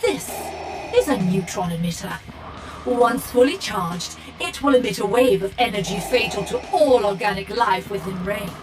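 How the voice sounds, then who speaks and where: A woman playing a calculating, smugly controlled villain in a video game, measured and condescending. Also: mid-range voice, lively intonation, close to an everyday voice. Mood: smug menace. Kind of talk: video game character acting. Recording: loud music behind. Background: game soundtrack music.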